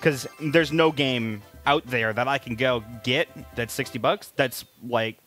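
A man's voice: mid-range voice, unhurried, fairly bright tone, faint music behind. A man bantering casually as he plays a video game, blunt and teasing, swearing freely. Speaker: gaming video co-host and player. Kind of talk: casual banter over gameplay.

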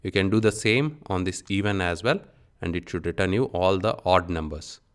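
A man giving an instructional software tutorial, dictating in short, clipped phrases as he works through each step. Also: deep voice, normal speed, smooth. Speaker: programming instructor.

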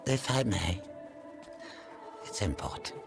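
Brief: a calm, sinister elderly inquisitor, deep and lively in pitch, game cutscene. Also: male voice, full character voice. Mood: cold calm. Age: older. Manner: slow, measured, gravelly. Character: scheming old male inquisitor, calm and cold. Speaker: Grand Inquisitor. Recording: game cutscene with loud music.

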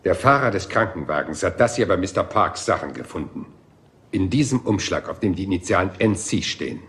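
A man's voice: sits low, lively intonation, a little fast, a bit bright. An actor playing a rumpled, humble male police inspector in a German crime drama dub, apologetic and politely persistent. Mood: humble, dogged.

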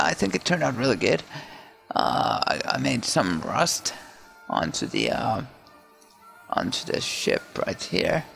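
A man casually narrating his own digital painting in a relaxed, hesitant way, full of fillers and restarts, over faint music. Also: fairly low pitch, creaky.

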